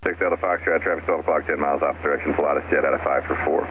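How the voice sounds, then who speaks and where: A thin, phone-like aviation radio with clear noise carries an extremely low male voice. The man is an air traffic controller who speaks in clipped instructions. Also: fairly even intonation, very fast. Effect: aircraft radio. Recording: tinny, noisy aviation radio.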